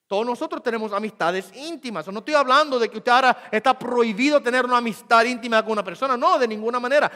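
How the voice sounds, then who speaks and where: A male pastor preaching a sermon, rising loud and slow on key points and dropping to quick, quiet asides. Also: very high-pitched, in a large hall. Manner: swells loud and slow for emphasis, then drops to quick murmurs. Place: church sanctuary.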